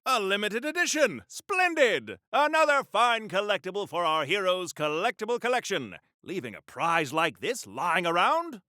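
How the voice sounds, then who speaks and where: An actor playing a pompous, earnest old-fashioned comic book superhero in a video game, declaiming theatrically in retro mid-century style. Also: male voice, sits high, very animated, normal speed, sharp tone, no echo, clean studio sound, exaggerated voice. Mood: cheerful, promotional.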